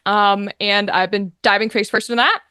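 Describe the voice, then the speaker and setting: A young woman chatting casually as an interview guest, telling her story in an animated, informal way. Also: medium pitch, normal speed, smooth, no room sound. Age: young adult. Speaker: guest, voice actor.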